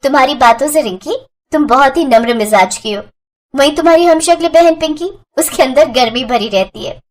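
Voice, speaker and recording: A woman's voice: high-pitched, animated, normal speed. A woman voicing a kind, sensible young sister-in-law who keeps the peace in a moral story. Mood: gentle. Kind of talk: Hindi moral story voice acting.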